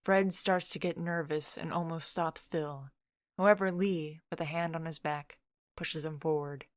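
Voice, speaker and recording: A woman narrates a scripted story in the third person. Her voice is very low for a woman, and the sound is dry and close, with little room around it. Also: fairly even intonation, unhurried, dry recording.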